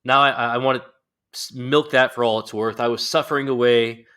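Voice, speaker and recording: A man's voice: mid-range voice, steady tone, normal speed, bright, forceful tone, clean tone. An American man hosting a podcast, chatting casually with joking banter. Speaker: podcast host. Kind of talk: casual interview chat.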